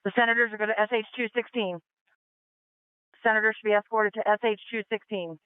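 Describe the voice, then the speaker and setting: A woman's voice: mid-range voice, steady tone, brisk, clean tone, no room sound. A woman speaking as a police officer, giving field reports over a two-way radio. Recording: muffled, band-limited police radio transmission.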